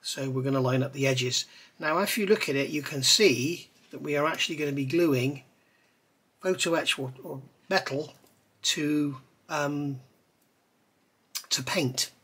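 A husky, bright-voiced model builder. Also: male voice, a little high, a little slow. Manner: relaxed, rambling, talks while working with his hands. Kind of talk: hands-on tutorial with chatty asides. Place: indoor hobby workbench. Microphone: overhead camera on workbench.

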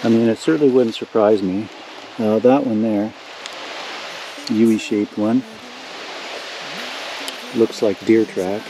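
An older man, a homesteader who casually narrates as he walks outdoors through woods and swamp, pointing things out in a relaxed, rambling way. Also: on the low side, slow pace, pauses often, background noise. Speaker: homesteader vlogger.

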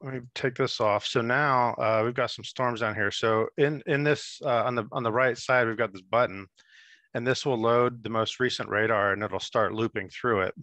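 A man in his thirties talking through a screen-share software demo on a video call, relaxed and explanatory with many fillers. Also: mid-range voice, moderate pace, no echo. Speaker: app demonstrator.

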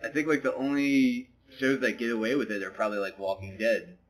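A man's voice: mid-range voice, flat delivery, brisk, poor audio. A man co-hosting a podcast in a casual chat, rambling and hesitant, full of fillers. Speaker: podcast co-host. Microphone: podcast microphone.

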